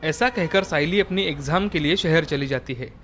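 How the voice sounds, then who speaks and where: A man's voice: a little high, normal speed, a bit bright, a little hiss or hum. A man narrating a scripted children's cartoon story.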